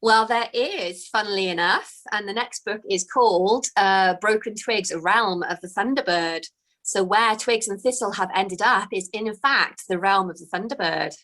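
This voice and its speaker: A woman, a children's author, reading her own book aloud with gentle, expressive storytelling, then chatting about the next book. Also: mid-range voice, moderate pace, low-quality recording.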